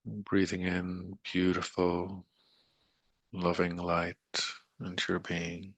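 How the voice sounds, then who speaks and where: A man leading a guided meditation in a soothing, unhurried manner with long pauses and gentle coaxing, his voice breathy and gravelly. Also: low voice, monotone, dry recording, low-quality recording.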